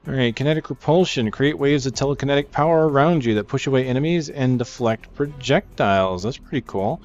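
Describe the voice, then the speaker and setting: A man's voice: medium pitch, a little fast, darkish tone. A man giving casual walkthrough commentary on a video game, as the narrator of a gaming video. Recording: slightly dull mic, game music behind. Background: video game music.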